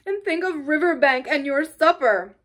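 A woman's voice: high-pitched, animated, moderate pace, a bit bright, pitched above the performer's own voice. A woman voicing a small, timid male mole from a storybook, tearful and pleading in a broken, plaintive way. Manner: sobbing, broken, plaintive delivery. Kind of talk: storybook character acting.

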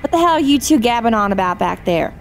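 An actress playing a flirtatious, cruel kidnapper. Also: female voice, a little high, sing-song, fast, creaky, faint music behind. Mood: taunting. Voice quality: flirtatious, mocking.

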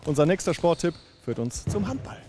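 A man in his thirties presenting to camera with a handheld mic in an indoor sports venue, lively and playful. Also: on the high side, fairly quick. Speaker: TV sports reporter. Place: indoor bowling alley and sports hall. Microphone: handheld microphone.

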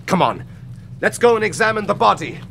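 An actor playing an eager, self-righteous young crusader in a historical audio drama. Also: male voice, high voice, very animated, fairly quick, creaky, fairly bright tone, slight background noise, heavily acted. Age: young adult.

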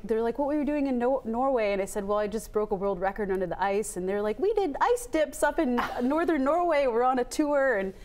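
A woman's voice: mid-range voice, a little mellow. Chatty woman telling a dive story. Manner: chatty, acts out quoted voices, laughs often. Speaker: free diver guest. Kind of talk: animated interview storytelling. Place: TV studio set.

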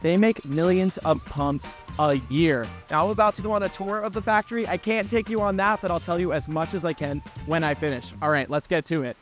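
An eager man with a high voice talks quickly to camera in a factory hallway, setting up what comes next. Faint music sits under him. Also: fairly bright tone. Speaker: vlog host. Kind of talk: on-location vlog piece to camera.

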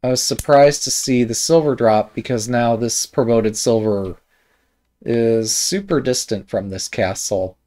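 A man with a faint Midwest American accent talks through a game at an unhurried pace, his voice sitting at a middle pitch. He muses aloud, trailing off and circling back with plenty of ums as he weighs each move. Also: warm tone. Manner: hesitant, musing, many ums and trailing thoughts. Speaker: shogi game reviewer. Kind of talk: casual game commentary while thinking aloud.

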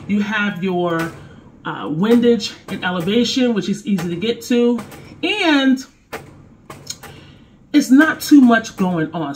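A woman in her thirties talks casually and directly to her viewers, her voice stuffy from a cold. She sounds relaxed and conversational, recorded in a home kitchen from a camera a little way off. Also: mid-range voice. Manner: chatty, speaks directly to viewers. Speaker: firearms gear reviewer. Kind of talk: casual product review.

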